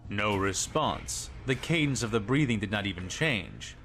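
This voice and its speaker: A man's voice: lowish voice, expressive, moderate pace, fairly bright tone. Man narrating a dramatic story over prominent music.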